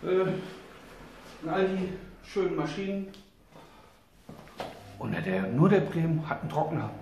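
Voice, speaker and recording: A man's voice: fairly high pitch, big pitch swings, a little slow, a little mellow, lo-fi sound. A middle-aged man from Germany giving a casual, rambling gear review in a joking, colloquial manner. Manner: informal, joking, colloquial with mild swearing. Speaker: vlogger reviewing outdoor gear. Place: concrete basement laundry room, indoors. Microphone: camera mic a few steps away. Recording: echoey room, distant camera, background noise.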